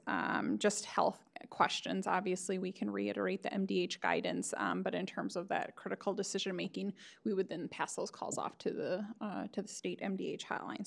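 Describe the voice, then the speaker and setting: A woman's voice: mid-range voice, gravelly. A woman public health official giving a board meeting briefing over a video call, hesitant with many fillers.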